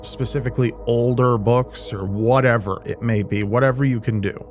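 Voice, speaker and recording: A man's voice: mid-range voice, fairly even intonation, moderate pace. A man hosting a video, giving casual reaction commentary with rambling, informal asides. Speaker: host, horror video creator. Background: music.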